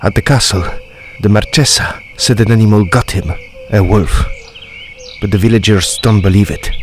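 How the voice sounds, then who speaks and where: A man's voice: fairly low pitch, moderate pace, a little hiss or hum, natural voice. A man playing a grave, deferential Italian castle servant who talks ominously in a period audio drama.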